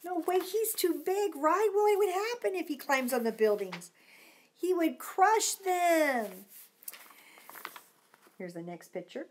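A woman's voice: very high voice, very animated, takes it slowly, long pauses, slight background noise. A middle-aged woman reading a storybook expressively to children, with simple chatty asides and questions. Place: living room at home.

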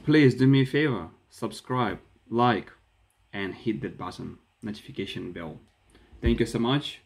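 A man's voice: on the low side, unhurried, creaky. A man in his thirties with a Ukrainian accent, talking to a phone camera in a small room and gesturing as he speaks. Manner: animated, gestures with his hands while talking. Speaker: vlogger. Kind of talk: casual talk to camera. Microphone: phone camera at arm's length.

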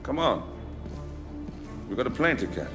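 An actor playing a wry, rugged rebel comrade in a video game, with a dry conversational manner. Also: male voice, medium pitch, sing-song, measured pace, music in the background. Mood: earnest. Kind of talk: video game cutscene acting.

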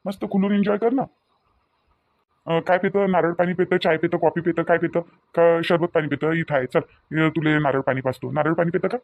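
Man acting a high-pitched, coaxing young cartoon husband. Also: fairly even intonation, a little fast, gravelly, lo-fi sound. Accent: Vidarbha Marathi (Varhadi). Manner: insistent, repetitive coaxing. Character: young newlywed husband, reassuring, doting, a little impatient. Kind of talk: cartoon dialogue acting in colloquial dialect.

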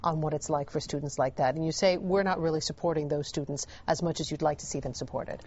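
A woman's voice: sits low, steady tone, fast, darkish tone, a little hiss or hum. A woman hosting a TV education show, interviewing her guest in a polished, leading way. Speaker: TV show host. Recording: dull broadcast audio.

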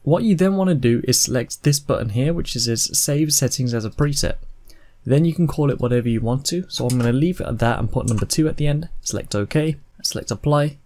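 A man in his twenties narrating a software tutorial in an informal, step-by-step way. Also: medium pitch, fairly quick. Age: 20s. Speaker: tutorial host.

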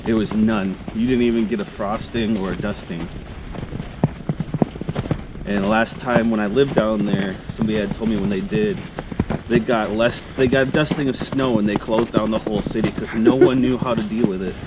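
A man's voice: lowish voice, normal speed. A man chatting casually as a companion on an outdoor walk. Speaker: hiking companion. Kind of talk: casual chat while walking. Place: outdoors on a snowy woodland trail. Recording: very noisy outdoor recording.

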